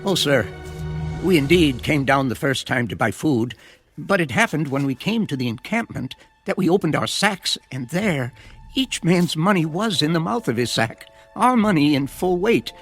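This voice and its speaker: An actor playing an anxious, humble brother in a Bible audio drama, earnest and deferential. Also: male voice, a little high, animated, moderate pace, soft background music. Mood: anxious pleading. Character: anxious, humble brother pleading innocence to a steward.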